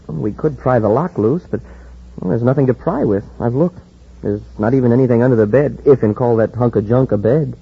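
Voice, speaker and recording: An actor playing an earnest, excitable young space cadet sidekick in a 1950s radio drama. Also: male voice, medium pitch, brisk, gravelly, breathy edge. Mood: glum, earnest. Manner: earnest, excitable, folksy exclamations. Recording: muffled, noisy old radio recording.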